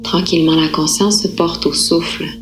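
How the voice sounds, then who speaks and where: A woman yoga nidra instructor guiding a relaxation meditation in a calm, unhurried, soothing manner with gentle pauses. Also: fairly low pitch, over music.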